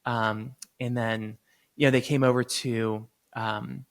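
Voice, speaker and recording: Easygoing man in his thirties, medium pitch. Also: takes it slowly, gravelly. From United States, grew up in Florida. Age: 30s. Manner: relaxed, easygoing, laughs readily. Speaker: surgeon, podcast guest. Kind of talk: casual interview chat.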